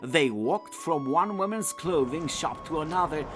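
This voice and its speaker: A man's voice: fairly high pitch, lively intonation, a little slow, over music. A man reading a scripted children's story as its narrator.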